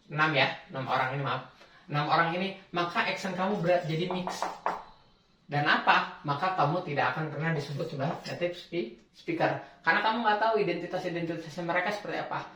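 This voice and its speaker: A man's voice: a little high, bright, forceful tone, far from the mic, a little hiss or hum. A young man explaining accents in a casual, slangy tutorial, often correcting himself mid-word. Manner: chatty, slangy, self-correcting. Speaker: language tutor. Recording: echoey room, away from mic.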